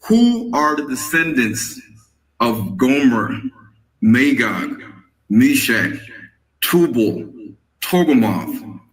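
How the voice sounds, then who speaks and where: A man teaches on prophecy at an unhurried pace. He speaks deliberately, setting out each name in a list one by one. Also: medium pitch, sing-song, poor audio. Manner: deliberate, lists names one by one.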